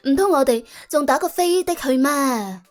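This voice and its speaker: A woman's voice: high voice, expressive, unhurried, darkish tone, faint music behind. A woman voicing a streetwise, world-weary young female debt collector, sardonic and colloquial, in an audio drama. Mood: exasperated. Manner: colloquial, sardonic, exclamatory, argues with rhetorical questions. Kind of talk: audio drama character acting.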